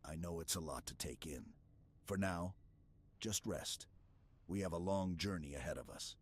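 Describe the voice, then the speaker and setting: Man voicing a very deep, stoic super-soldier. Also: moderate pace. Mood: reassuring, steady. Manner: steady, clipped, reassuring, military. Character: stoic, protective armored male super-soldier, calm commander. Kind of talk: ASMR roleplay, scripted dramatic monologue. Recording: clear background ambience noise.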